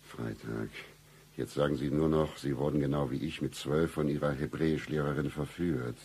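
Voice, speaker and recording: An actor playing a broke, seedy private detective in a satirical radio play, with florid flirtatious patter and deadpan humour. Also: male voice, a bass voice, normal speed, a little hiss or hum. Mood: florid, seductive.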